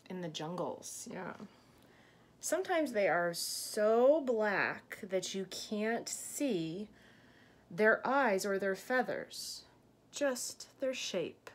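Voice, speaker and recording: A woman in her thirties reading a picture book aloud to young children, gently explaining and asking questions as a teacher does. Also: medium pitch. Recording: clear background room noise.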